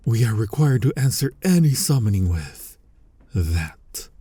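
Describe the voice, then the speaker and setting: A man playing a flirty, exasperated incubus demon in an intimate ASMR roleplay, husky and breathy with a teasing, sardonic manner, often slipping toward a whisper. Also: mid-range voice, mellow, no room sound, full character voice. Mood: embarrassed, wry. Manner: slow, teasing, sardonic delivery. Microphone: close, dry studio-style microphone.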